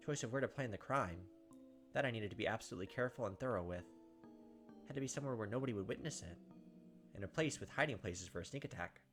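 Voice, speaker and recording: Fast-talking man reading a game story. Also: on the low side. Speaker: let's play host reading a visual novel aloud. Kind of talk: dramatic narration of game text with casual commentary asides. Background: game music.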